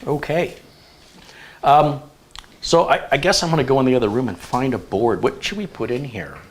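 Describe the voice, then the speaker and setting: A man hosting a live stream, chatting casually and thinking aloud with relaxed fillers. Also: medium pitch, moderate pace, a little hiss or hum. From United States. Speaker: show host.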